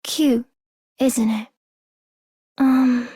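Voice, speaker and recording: A woman voicing a gentle, dreamy young doll-maker in a video game, soft and hesitant. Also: on the high side, fairly even intonation, extremely slow, husky, dry recording, studio quality, full character voice. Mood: dreamy, tender. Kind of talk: video game character voice lines.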